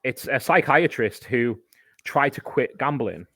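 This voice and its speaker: A man's voice: mid-range voice, expressive, unhurried, pushed and bright, no room sound. A man, a podcast guest, explaining a topic in casual chat, talking at length and giving examples.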